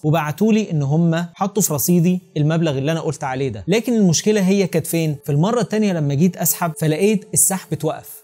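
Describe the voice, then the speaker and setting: A man's voice: on the high side, mellow. A man in his thirties with an Egyptian Arabic accent, explaining emphatically and speaking straight to the viewer as a channel host. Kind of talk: explanatory talk to camera. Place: small home room with curtains and desk, indoors. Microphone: clip-on lapel mic.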